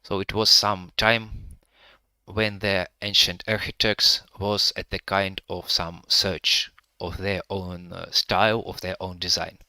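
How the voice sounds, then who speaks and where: A man with a Russian-Ukrainian accent guiding a live virtual tour, speaking halting English with many pauses to find his words. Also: low-pitched, level tone, bright, forceful tone, dry recording. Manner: hesitant, pausing to find words; halting non-native English with frequent fillers.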